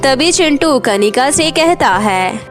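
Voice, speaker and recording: A woman's voice: high voice, animated, slow, soft background music. A woman narrator reading a scripted cartoon moral story.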